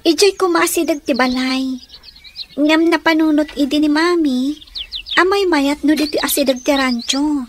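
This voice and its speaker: Actress as modest young daughter, radio drama, high voice, earnest, sweet, slightly noisy track. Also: female voice, normal speed, natural voice. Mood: earnest, wistful. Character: modest, dutiful young daughter of a ranch owner. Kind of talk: Ilocano radio drama acting.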